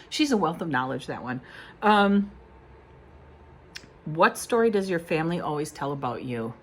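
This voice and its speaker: Low-voiced chatty woman vlogger. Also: big pitch swings, measured pace, long pauses, husky. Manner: rambling, chatty, self-deprecating with many fillers. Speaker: beauty vlogger. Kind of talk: casual chat to camera.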